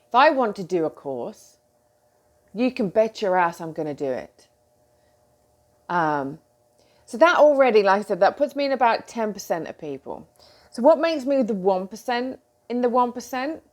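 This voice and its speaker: A middle-aged British woman talking casually to her followers as a coach, chatty and animated, with frequent ums and asides. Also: fairly high pitch, moderate pace. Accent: British English. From Britain. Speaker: coach and host. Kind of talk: casual talk to camera.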